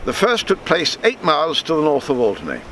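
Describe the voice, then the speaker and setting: Older man storytelling with very lively intonation. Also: a little high, a little hiss or hum, poor audio. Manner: measured, with stumbles and repeats in reading. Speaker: storyteller reading his book. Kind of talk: narrated true sea tale, read aloud.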